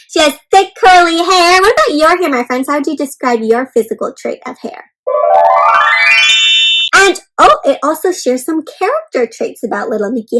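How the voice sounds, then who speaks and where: Woman, very high voice, interactive kids' read-aloud, teacherly, expressive, question-filled delivery. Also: rough recording. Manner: addresses young listeners with questions and commentary. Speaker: children's read-aloud host. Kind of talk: interactive storybook read-aloud for young children.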